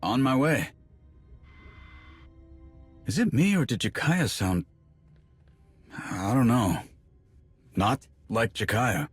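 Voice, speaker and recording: An actor playing a wry, casual comrade in a video game, brisk and informal. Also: male voice, medium pitch, lively intonation, husky, no room sound, soft background music, exaggerated voice. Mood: puzzled.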